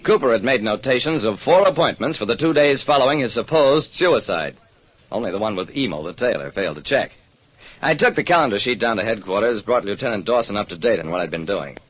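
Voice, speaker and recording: A man's voice: lowish voice, expressive, a little fast, close to an everyday voice. A man playing a shrewd, wry hardboiled private detective narrating an old radio mystery. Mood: wry, probing. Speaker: narrator and lead actor. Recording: muffled old radio recording.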